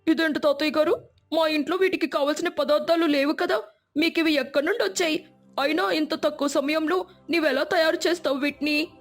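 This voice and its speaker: A woman's voice: high voice, fairly even intonation, fairly quick, soft background music, pitched above the performer's own voice. A woman voicing a small, innocent village boy, caring and anxious, in a moral storybook tale. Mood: curious.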